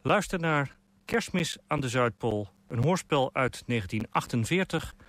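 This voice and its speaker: A man gives a scripted introduction as the presenter of an archive radio play. His voice sits at an average pitch, and the recording is dry, with no room around it. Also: lively intonation, measured pace, a bit bright, no room sound.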